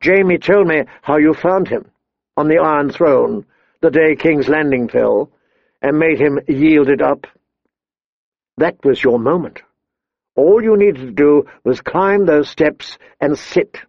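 A man voicing a proud, scheming queen in an audiobook, taunting and scornful, with some lines dropped to a soft hush. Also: a little high, takes it slowly, creaky, dry recording, dull audio, full character voice. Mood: cold. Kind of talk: audiobook character voice.

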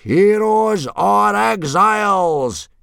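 A man voicing a loud, ill-tempered, pompous Viking warrior instructor barking commands in a children's storybook. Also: high voice, expressive, very slow, creaky, clear, resonant tone, dry recording, studio quality, pitched above the performer's own voice, exaggerated voice. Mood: yelling. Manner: bellowing, blustering, pompous commands.

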